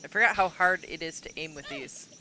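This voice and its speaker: A man's voice: high-pitched, brisk, noisy background. A man streaming a video game, talking casually through his play, a little sniffly and congested. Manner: relaxed, muttering to himself while playing. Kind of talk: casual let's-play commentary. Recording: game music playing underneath. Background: game music.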